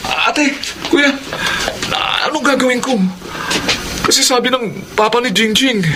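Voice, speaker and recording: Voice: medium pitch, measured pace, heavy background noise, close to an everyday voice. A performer acting in a Filipino radio drama as a sheepish, anxious nineteen-year-old youngest brother, hesitant and pleading. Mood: worried, hesitant.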